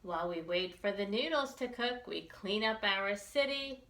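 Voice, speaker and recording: A woman reading a picture book aloud for young children, expressively and with deliberate enunciation. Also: medium pitch, animated, a bit bright, reverberant. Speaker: children's librarian storytime reader.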